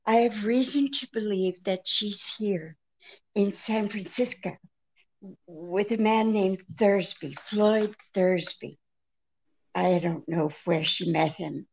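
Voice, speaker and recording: An actress playing an anxious, genteel woman pleading for help in a 1940s-style radio drama, speaking haltingly. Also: mid-range voice, slightly rough, rough recording. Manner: hesitant, halting, faltering.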